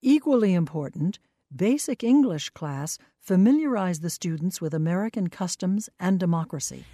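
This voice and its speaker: Low, mellow woman narrating a documentary. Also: dry recording, clean studio sound. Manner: measured, even delivery. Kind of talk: scripted narration.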